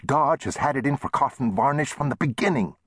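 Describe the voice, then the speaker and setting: A man's voice: sing-song, normal speed, slightly rough, no room sound, studio quality, heavily acted. A man voicing a touchy, gloomy and indignant plump storekeeper, complaining in a western audiobook. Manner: heated, complaining, raised pitch.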